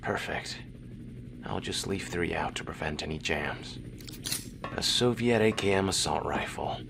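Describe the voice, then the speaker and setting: An obsessive commando gun nut in a radio drama. He speaks low and slowly, with a creaky edge, over very loud noise. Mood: absorbed. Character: obsessive male special forces gun geek.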